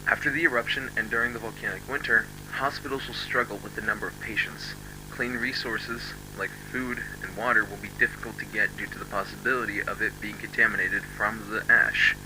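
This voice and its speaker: A man playing a grave, formal emergency broadcast announcer, reading solemnly and deliberately from an analog horror script. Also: on the low side, fairly even intonation, noisy background, close to an everyday voice. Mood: grave, ominous. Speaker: emergency alert narrator.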